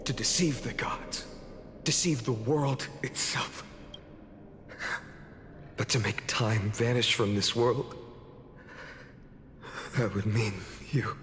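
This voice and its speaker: A man playing a solemn, resolute, guarded hero in an epic fantasy video game, speaking gravely and deliberately. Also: medium pitch, a bit bright, slight background noise. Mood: solemn resolve. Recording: long reverb with music behind.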